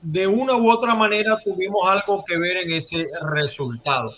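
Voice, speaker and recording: A man's voice: sits high, moderate pace, a bit bright, a little hiss or hum. A man from Venezuela hosting a political talk show, formal and broadcast-like as he greets listeners and questions a guest. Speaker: host.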